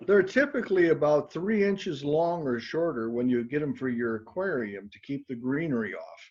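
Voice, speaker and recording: Man, higher breathy voice, dry joker on a video call, dull audio. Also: expressive, moderate pace, a little mellow. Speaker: meeting participant. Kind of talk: casual chat.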